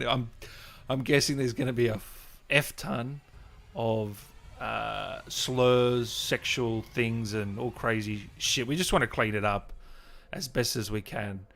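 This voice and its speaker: A man's voice: mid-range voice, a bit bright. A man hosting a gaming livestream, chatting casually and informally to his viewers in a chummy way. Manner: chummy, informal, addressing viewers as guys and brother. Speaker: host of a gaming channel livestream.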